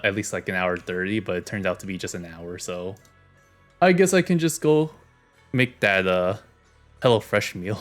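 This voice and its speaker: A man streaming a game, reading its story aloud and chatting in a relaxed way, often trailing off. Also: fairly low pitch.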